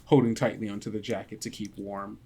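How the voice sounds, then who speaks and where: A man narrating a tabletop game as game master, describing things haltingly as he thinks aloud. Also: fairly low pitch, flat delivery, dark tone, husky, faint music behind.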